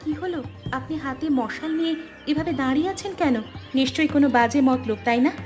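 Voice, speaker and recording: A woman's voice: high voice, quick pace, darkish tone, soft background music, pitched above the performer's own voice, close to an everyday voice. A woman voicing a feisty, defiant poor village wife in a cartoon folk tale. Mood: alarmed.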